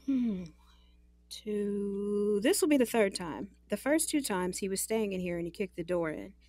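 A woman's voice: medium pitch, lively intonation, unhurried, mellow, dry recording. An actress playing a guarded, exasperated young working woman in an audio drama, frank and wry.

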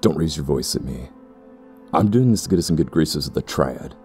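An actor playing a stern, ambitious temple priest in a fantasy audio drama, speaking formally and gravely with a husky, gravelly voice. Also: male voice, very deep voice, animated, brisk, a little mellow, faint music behind. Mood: indignant. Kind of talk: fantasy audio roleplay acting.

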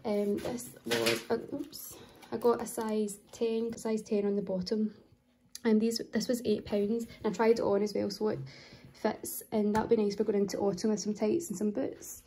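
A woman's voice: mid-range voice, flat delivery, moderate pace, warm tone, slight background noise. A young adult woman chatting casually and unscripted as she films a shopping haul in her kitchen. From United Kingdom. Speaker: vlogger showing a shopping haul. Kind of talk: casual chatty voice-over to camera. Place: home kitchen, indoors.

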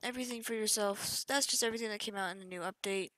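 A woman's voice: medium pitch, fast, bright, forceful tone. A young woman casually talking through a game update over screen capture, chatty and offhand, often trailing off mid-sentence. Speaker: gaming youtuber. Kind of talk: casual gameplay walkthrough.

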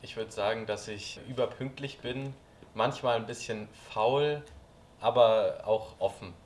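A man in his twenties, a contestant on a dating show, chatting in a relaxed way. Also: medium pitch, unhurried, slight background noise. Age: 20s. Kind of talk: casual chat. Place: studio.

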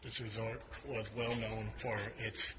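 Rambling low-voiced man exploring ruins. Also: little pitch movement, a little slow, noisy background. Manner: loose, rambling, self-correcting. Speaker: urban explorer vlogger. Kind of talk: casual walking narration. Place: outdoor ruins of a large old hotel.

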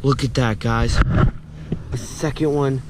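A man's voice: medium pitch, steady tone, slow pace. A young American man giving casual, informal fishing vlog commentary to his viewers. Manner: informal, addressing viewers as guys. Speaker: fishing vlogger. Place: outdoors beside a grassy pond. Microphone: body-worn action camera. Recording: noisy outdoor action-camera audio. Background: clear outdoor ambient noise.

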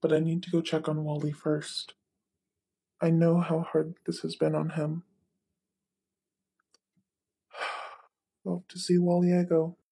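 A man plays a weary but caring cartoon puppet, subdued and husky. His gravelly voice is dark and stays very flat in pitch. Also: a little slow, full character voice. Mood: weary, concerned. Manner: subdued, tired.

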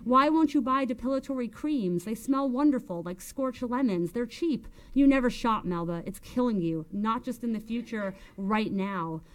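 A woman's voice: medium pitch, expressive, moderate pace, a little mellow, lightly acted. A woman voicing a bitter, domineering older woman who scolds emphatically with pointed questions in an audiobook story. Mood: bitter, vehement. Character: bitter, hectoring, domineering older woman berating Melba by phone.

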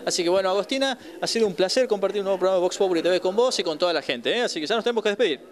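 Quick, high-voiced Argentine host. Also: male voice, expressive. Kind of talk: casual TV interview. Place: bar with live band. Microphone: handheld mic. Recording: loud live music behind.

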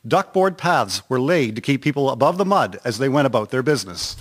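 A man narrating a historical radio drama from a script in a measured, formal reading. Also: mid-range voice, very animated, background noise.

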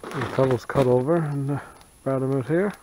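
A man's voice: medium pitch, noisy background. Folksy man in snowy woods. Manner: plain, unhurried, folksy. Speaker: bushcraft vlogger. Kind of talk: casual outdoor narration. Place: snowy forest outdoors.

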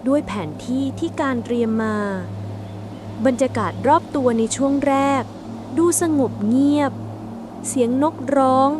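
A woman's voice: high-pitched, a little slow, background noise. A woman reading a scripted suspense bedtime story as a storyteller.

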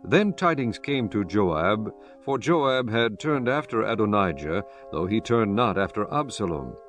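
A man's voice: on the low side, lively intonation, unhurried. A man giving scripted narration for a dramatized Bible recording, telling the story in a solemn, formal manner. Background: soft background music.

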